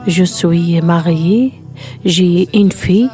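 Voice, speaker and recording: A woman's voice: on the low side, slow, mellow, soft background music. A woman in her fifties from Algeria, introducing herself with an Algerian accent. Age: 50s. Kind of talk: self-introduction.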